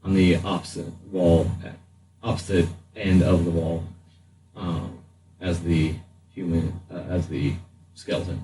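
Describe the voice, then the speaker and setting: A man narrating a tabletop game as game master, in a halting, deliberate way. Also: sits low, little pitch movement, music in the background. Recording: distant mic, faint room noise.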